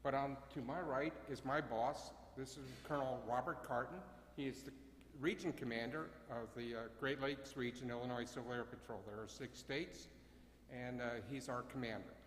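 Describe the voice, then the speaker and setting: A man running a ceremony as its emcee, with a loud voice and a halting way of talking, full of uhs and self-corrections. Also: mid-range voice, steady tone, slight background noise. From Bucks County, Pennsylvania. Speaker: ceremony emcee and CAP wing commander. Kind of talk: ceremony address. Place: chapel. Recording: echoey chapel with long reverb.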